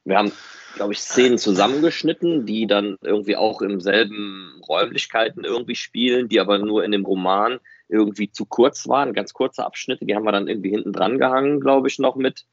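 Low-voiced man in casual podcast chat. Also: brisk, no echo. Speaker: fan podcast co-host. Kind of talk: casual explanatory chat about a hobby production. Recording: slightly dull, dry close recording.

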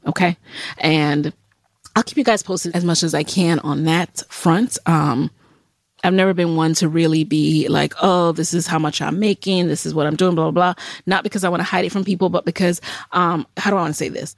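A woman's voice: fairly low pitch, steady tone, normal speed, dry recording, studio quality. A woman in her thirties from Jamaica, hosting a solo podcast in a candid, chatty way with many fillers and restarts. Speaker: podcast host. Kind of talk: solo podcast update, casual chat.

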